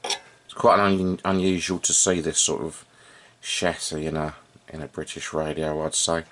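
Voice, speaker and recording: A man giving an unscripted, informal hands-on demonstration, thinking aloud in a halting way as he works as a hobbyist repairer. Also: extremely low pitch, level tone, fairly bright tone. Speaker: vintage radio repair hobbyist and video presenter.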